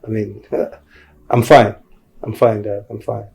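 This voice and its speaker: Grown son, lowish voice, creaky, reassuring, insistent, film drama. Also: male voice, level tone, slow pace, darkish tone.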